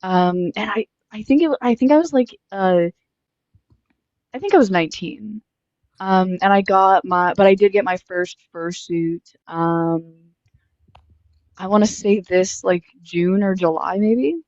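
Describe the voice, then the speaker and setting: A woman's voice: mid-range voice, unhurried, a little mellow, no room sound, muffled recording. A woman in her twenties doing a casual street interview, chatty and friendly with lots of fillers. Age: 20s. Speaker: host interviewing strangers.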